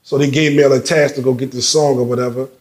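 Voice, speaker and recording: A man's voice: mid-range voice, fast talker, reverberant. A man telling stories as a guest in a casual interview, acting out what others said and often repeating words. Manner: animated storyteller, acts out quotes, repeats words.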